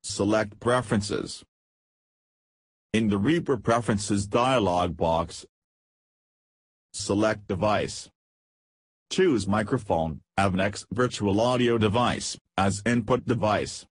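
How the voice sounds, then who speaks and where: A man narrates a scripted how-to in a fairly low, husky voice. He reads step by step in a measured way. The sound is dry and close, as in a voice-over. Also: no echo. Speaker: software tutorial narrator.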